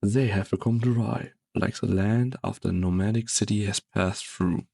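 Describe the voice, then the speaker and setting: A man narrating a game story in a measured, solemn, dramatic scripted style. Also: lowish voice, fairly even intonation, creaky, dark tone.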